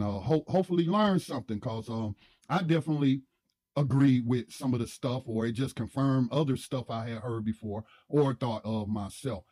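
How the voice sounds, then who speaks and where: A man hosting a livestream, giving casual commentary in a halting way as he searches for his words. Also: mid-range voice, a little mellow, no room sound. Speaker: livestream host.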